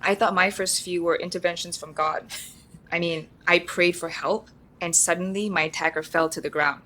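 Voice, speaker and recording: A woman's voice: on the low side, steady tone, normal speed, bright, forceful tone. A woman acting in an audio drama as an uneasy, earnest, skeptical support group member who speaks hesitantly. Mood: uneasy, apologetic. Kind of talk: conversational audio drama acting, live recording.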